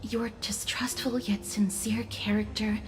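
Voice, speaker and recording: A woman playing a polite, perceptive young scholarly heroine in an anime game dub, speaking softly and formally. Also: mid-range voice, level tone, measured pace, natural voice. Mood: calm explaining. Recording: game music behind.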